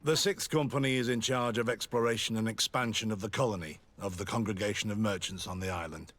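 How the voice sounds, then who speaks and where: A man's voice: lowish voice, fast talker, a bit bright. A man playing a dutiful, formal lieutenant of a frontier company in a video game. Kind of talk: video game dialogue acting.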